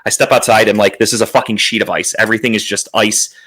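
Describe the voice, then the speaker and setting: A man hosting a podcast in casual chat, telling rambling, profane stories in a slightly raspy, worn, phlegmy voice. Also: lowish voice, level tone, fast, sharp tone. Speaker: podcast host.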